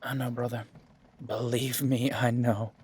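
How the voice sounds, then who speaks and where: A man playing a weary, guarded werewolf duke in a fantasy audio drama, speaking in a measured, dry way with a husky voice. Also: medium pitch, level tone, darkish tone, a little hiss or hum, close to an everyday voice. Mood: resigned, cautioning.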